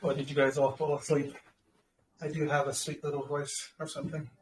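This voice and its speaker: A man's voice: fairly high pitch, level tone, a little fast, a little hiss or hum, low-quality recording. A man hosting a live audio chat, upbeat and joking with the audience. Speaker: host.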